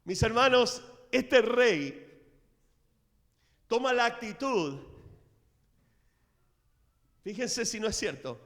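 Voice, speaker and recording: A middle-aged man preaching a sermon in a church, telling the story with dramatic swings in loudness. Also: extremely high pitch, expressive, drawn-out words, long pauses. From Latin America. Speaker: pastor. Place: church sanctuary. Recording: reverberant church hall.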